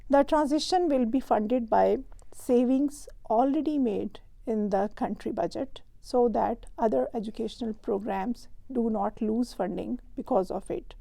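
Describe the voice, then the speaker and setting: A woman host from Pakistan, reading a prepared explainer in a measured, even way. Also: on the high side, gravelly, no room sound. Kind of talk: scripted explainer narration.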